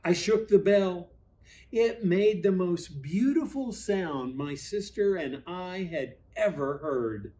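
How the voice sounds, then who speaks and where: Slow-paced man reading a storybook aloud. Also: high voice. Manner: expressive, dramatic pauses. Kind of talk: storybook read-aloud for children.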